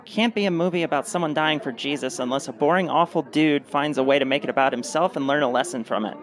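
A man's voice: on the high side, quick pace, a faint crowd, natural voice. A man playing an incredulous, snarky commenter in a comedic radio play.

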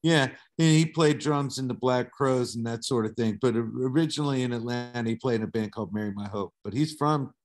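A man's voice: medium pitch, brisk, few pauses. A middle-aged man from the southern United States, a guest on a video call, telling stories in a laid-back way and swearing casually. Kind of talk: casual interview storytelling.

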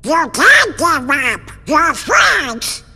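A squawky, garbled cartoon duck, excitable and loyal, pitched high and piercingly bright. The words come slowly in an echoing hall, as in a video game scene. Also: faint music behind, heavily acted. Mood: urgent.

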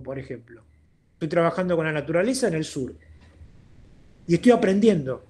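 A man's voice: high voice, a little mellow. An Argentine man in his sixties talking informally and emphatically as an interviewed guest on a video call, often checking that he is understood. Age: 60s. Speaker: interviewed guest, accountant turned entrepreneur. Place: indoor room at home, video call.

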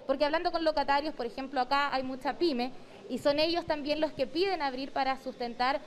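A woman's voice: high voice, brisk. Young Chilean woman reporting. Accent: Chilean Spanish. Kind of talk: field news report. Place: indoor shopping mall. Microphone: handheld reporter mic.

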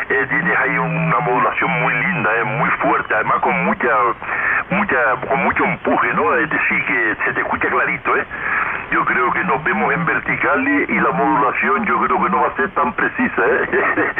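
A man's voice: medium pitch, vocal fry. A man chats effusively as an amateur radio operator over a two-way radio, often ending phrases with tag questions. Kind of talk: CB radio contact chat. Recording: noisy narrowband radio transmission with static.

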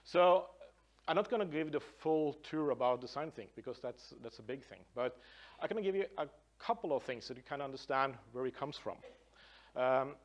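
A middle-aged Norwegian man presents at a conference in a plain, mid-pitched voice. He talks loosely, with restarts and filler sounds along the way. He is heard from across a large meeting room. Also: expressive, normal speed. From Norway. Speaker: conference speaker, design professor.

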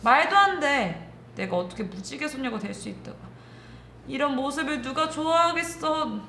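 A woman's voice: high voice, animated, moderate pace, pushed and bright, a little hiss or hum, exaggerated voice. A woman voicing an emotional, tender young rainbow girl who doubts herself, in a children's storytelling. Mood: gloomy, hurt. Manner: plaintive, emotional, exclaiming. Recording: roomy echo, music underneath.

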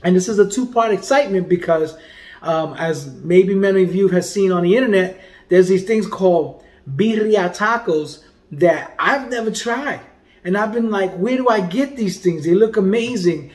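A man in his forties, a comedian hosting a casual food review vlog, talking in a playful, animated way and dropping to quiet murmurs while he tastes. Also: high voice, normal speed. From Puerto Rican heritage. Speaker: food vlogger and comedian host. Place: home dining room indoors. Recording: echoey room.

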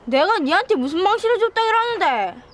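A woman's voice: extremely high pitch, sing-song, fairly quick. An actress playing a feisty, outspoken country schoolgirl with a Gyeongsang Korean accent in a children's drama. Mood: defiant. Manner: blunt, scolding, defiant.

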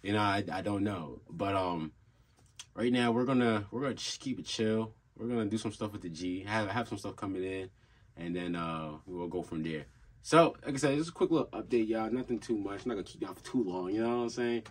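Laid-back young man, low husky voice. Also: fairly quick. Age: young adult. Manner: laid-back, rambling, many fillers and restarts. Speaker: car channel host. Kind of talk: casual vlog update. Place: small home room with desk and gaming setup.

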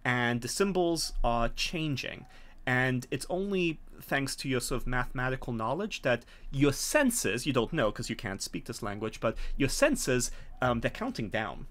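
A man's voice: mid-range voice, big pitch swings, a bit bright. Man, game master, mid-range voice, hesitant phrasing, scenario narration. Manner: hesitant, halting explanation. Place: small home room, video call.

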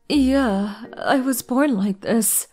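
A guarded, melancholy young anime woman played by an actress. She speaks gently and hesitantly in a fairly high voice, with faint music underneath. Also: exaggerated voice. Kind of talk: anime manga dub acting and narration.

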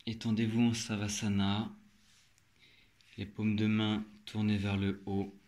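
A man leading a guided yoga relaxation as an instructor, giving calm, measured instructions with long pauses. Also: on the low side. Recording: loud meditation music with singing bowls. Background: meditative music, singing bowl tones.

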